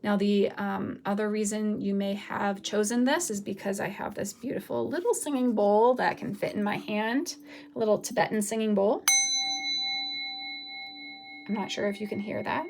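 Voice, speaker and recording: A woman with a raspy voice from recent illness, giving a casual, rambling tarot reading with many pauses and fillers. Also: medium pitch, background noise. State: raspy from recovering from flu. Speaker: tarot reader.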